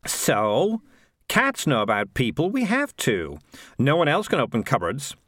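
A man's voice: mid-range voice, a little slow, no room sound, clean studio sound, heavily acted. A man voicing a battered, grumpy male cat in a storybook, gloomy and dryly sarcastic. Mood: weary scorn. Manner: gloomy, sighing, deadpan sarcasm.

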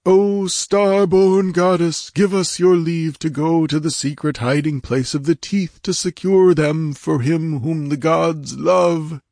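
A man voicing a fanatical high priest from a fantasy audiobook, solemn, resonant and declamatory as he invokes his goddess. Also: a little slow, darkish tone, no room sound, studio quality. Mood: exalted fervour.

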